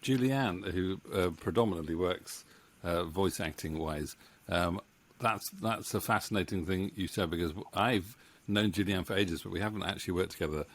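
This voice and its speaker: Halting deep-voiced male host. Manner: hesitant, halting, circling sentences. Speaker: interview host, audio drama maker. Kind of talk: casual chat, moderating. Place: home office indoors. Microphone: studio mic on boom arm, close.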